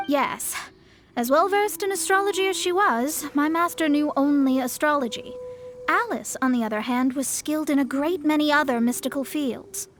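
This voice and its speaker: Mona: a haughty young astrologist. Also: female voice, sits high, normal speed, a little hiss or hum. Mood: exasperated. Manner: poised, lofty, slightly condescending. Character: proud young female astrologist, haughty and scholarly. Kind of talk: video game cutscene voice acting. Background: soft game music.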